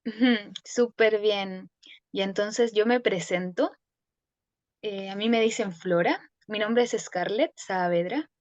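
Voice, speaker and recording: A young woman with a Chilean accent, thoughtfully telling her own story as a guest, with many pauses and restarts. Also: mid-range voice, dry recording, highs cut off. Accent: Chilean Spanish. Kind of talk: reflective personal storytelling.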